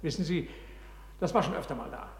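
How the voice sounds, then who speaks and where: An actor playing a calm, reassuring police sergeant in a German radio play, matter-of-fact with hesitant phrasing. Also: male voice, a little high, fairly quick, creaky. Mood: calm, official.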